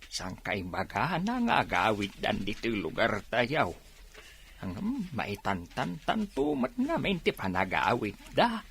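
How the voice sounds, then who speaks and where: A man's voice: lowish voice, wildly swooping pitch, takes it slowly, vocal fry, fairly bright tone, slight background noise, heavily acted. A man playing an elderly village customer and father in an Ilocano radio drama. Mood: grumbling. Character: slow-talking elderly village customer with a grown child.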